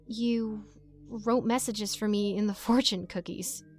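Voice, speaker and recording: A woman voicing an anxious, halting young woman in a dramatic horror story. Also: mid-range voice, fairly even intonation, a little mellow, faint music behind, lightly acted. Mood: hesitant, uneasy. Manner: halting, pleading. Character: anxious lovestruck young woman texting her boyfriend.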